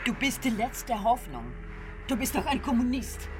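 An actress playing a stern, menacing Soviet communist in a radio drama, grave and reproachful. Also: female voice, on the high side, big pitch swings, moderate pace. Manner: grave, reproachful, switches between German and Russian. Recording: loud music behind the voice.

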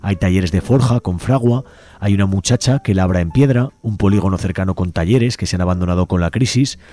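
Low, dark-voiced Spanish radio presenter. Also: male voice. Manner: measured, slightly halting delivery. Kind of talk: community radio presentation, partly read aloud.

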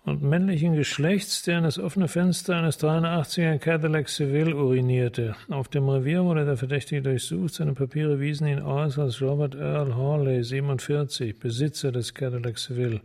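An actor playing a cool, sharp-minded maverick police detective in a radio drama, terse and skeptical. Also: male voice, a little high, fairly even intonation, moderate pace, vocal fry, few pauses. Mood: cool, analytical.

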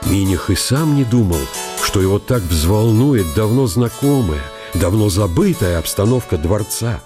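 A man narrating a historical story for a radio play, deliberate and broken into short phrases. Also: on the low side, lively intonation, over music.

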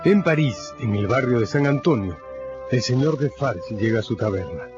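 A man's voice: mid-range voice, animated, moderate pace. A man narrating a radio drama in a scripted, dramatic storytelling style. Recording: dull lo-fi audio under music.

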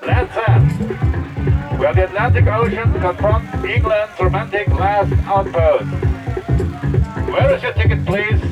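An actress playing a tourist-attraction announcer, giving inviting promotional announcements in a radio play. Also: female voice, mid-range voice, slow, pauses often, natural voice. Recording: narrow-band audio over loud music.

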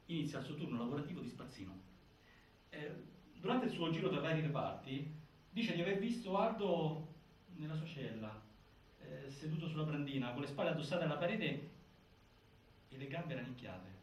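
A man playing a grave narrator of a prison-death inquiry, with deliberate, broken phrasing in a stage drama. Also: a little high, animated, lightly acted. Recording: very echoey, distant, faint noise.

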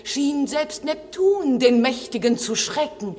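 An actress playing an eager, dutiful airy spirit servant in a classic German radio drama, declaiming verse in a lively, lyrical way, with long reverb. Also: female voice, high-pitched, slow. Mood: exultant, vivid.

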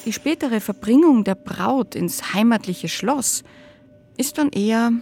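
A woman narrating a scripted radio history piece, deliberately and with relish in the telling. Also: fairly high pitch, lively intonation, vocal fry, a little mellow, slight background noise. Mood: savouring.

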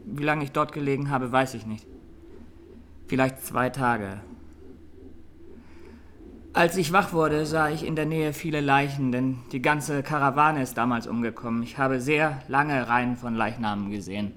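Man playing young male massacre witness, medium pitch, halting, grave, radio play, clear bird ambience behind. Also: steady tone, fairly bright tone, a little hiss or hum, close to an everyday voice. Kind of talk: radio drama courtroom testimony.

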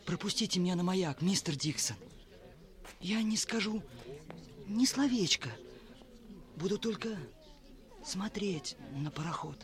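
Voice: mid-range voice, animated, measured pace, long pauses, lightly acted. A performer playing a cheeky village boy with a taunting, theatrical manner in a 1960s radio drama. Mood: pleading.

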